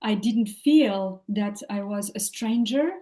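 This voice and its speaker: A woman, speaking English as an as a podcast guest, talking in a thoughtful, halting way with many fillers and restarts. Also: medium pitch, dark tone. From France. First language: French. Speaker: podcast guest, leadership coach. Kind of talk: interview conversation.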